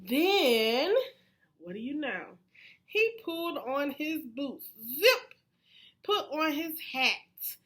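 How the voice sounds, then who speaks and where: Very lively, high-voiced woman reading slowly. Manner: animated, pausing to ask young listeners questions. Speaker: read-aloud storyteller. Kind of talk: children's picture book read-aloud.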